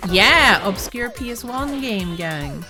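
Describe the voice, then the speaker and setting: A woman's voice: fairly high pitch, bright, forceful tone. A woman, chatting casually and rambling while playing a game on a stream. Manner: chatty, rambling, quick to laugh. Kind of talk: casual gaming stream chat. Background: game music.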